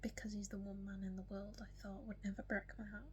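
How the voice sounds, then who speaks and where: A young woman speaks with a strong Yorkshire accent in a casual, whispery get-to-know-me vlog, full of ums and the odd hiccup. Her pitch stays flat and even. A faint noise sits under her voice. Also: fast. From West Yorkshire, England. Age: young adult. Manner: soft, frequently whispery delivery with many ums. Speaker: vlogger.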